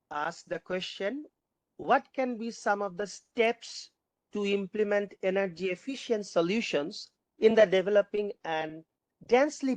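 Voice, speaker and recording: A panel moderator reading out audience questions, haltingly, often repeating and rephrasing. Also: medium pitch, a little mellow, no room sound.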